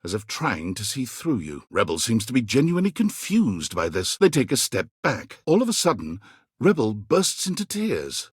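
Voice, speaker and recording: A man playing a wry, theatrical video game narrator, husky and measured, with a dryly mocking edge. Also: mid-range voice, dry recording, studio quality. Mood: wry, dramatic.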